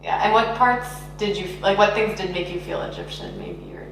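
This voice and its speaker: A woman interviewing, asking short prompting questions with soft backchannels. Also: medium pitch, brisk, recorded from a distance. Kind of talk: casual oral history interview. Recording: very echoey room, faint hum.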